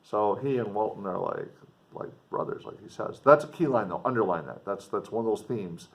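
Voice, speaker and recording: A man's voice: on the low side, expressive, normal speed, gravelly. Man reading a classic aloud, emphatic. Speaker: teacher reading a novel aloud with commentary. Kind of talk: expressive literary read-aloud with teaching asides.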